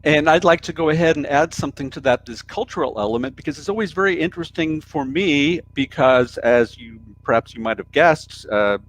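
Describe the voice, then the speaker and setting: An American man with a somewhat high voice talks casually on a live stream. He thinks out loud and often repeats words and restarts his sentences. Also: animated, fairly quick, few pauses, a little hiss or hum, low-quality recording. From United States. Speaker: co-host of a live stream discussion. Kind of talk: casual discussion about business change.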